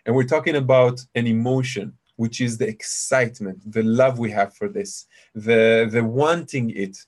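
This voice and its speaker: A man with a deep voice leads a live online session, talking loosely and explaining ideas to the listeners he calls "you guys". His pitch sits in an ordinary middle range. He is heard through a computer mic on a video call. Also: normal speed. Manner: chatty, explanatory, addresses audience as "you guys". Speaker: meditation teacher hosting a webinar. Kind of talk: casual live webinar teaching.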